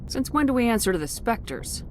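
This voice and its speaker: An actress playing a tough, direct military commander in a video game, curt and businesslike. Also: female voice, on the high side, very animated, a little fast, faint music behind. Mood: skeptical, firm. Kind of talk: video game dialogue acting.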